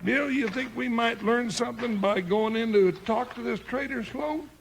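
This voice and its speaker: Casting: loyal, talkative comic cowboy deputy, squeaky-high and crackly voiced, rustic drawl, old radio western. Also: male voice, moderate pace. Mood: curious, chatty. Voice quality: high-pitched, gravelly. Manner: folksy drawl with rustic grammar, blustering. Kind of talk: 1950s radio western acting. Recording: noisy 1950s radio recording.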